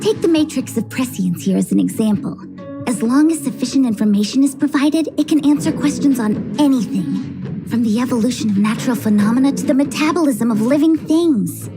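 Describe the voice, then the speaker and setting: Easygoing young diviner, lightly high-pitched. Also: female voice, animated, brisk. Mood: breezy, explanatory. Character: easygoing young female diviner explaining her workplace casually. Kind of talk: scripted video game voice acting. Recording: music under the voice.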